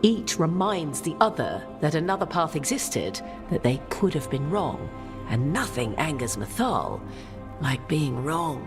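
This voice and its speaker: A woman playing a regal, worldly-wise witch in a fantasy game, with formal, archaic and measured phrasing. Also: deep voice, big pitch swings, heavily acted. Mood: wry, knowing. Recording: music under the voice.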